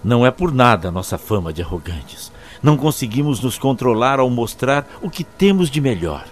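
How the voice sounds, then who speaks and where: A man's voice: mid-range voice, very animated, moderate pace, natural voice. A man with a Brazilian accent playing a learned alien Time Lord who explains ancient lore like a storyteller in an audio drama. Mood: explanatory. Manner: expository, storyteller-like. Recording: faint background noise.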